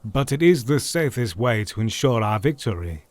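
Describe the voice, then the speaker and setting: An actor playing a wise, commanding elderly doctor who leads a vampire hunt, speaking in a measured, formal way in an audio drama. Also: male voice, medium pitch, sing-song, no echo, close to an everyday voice. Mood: resolute. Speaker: party leader planning the hunt.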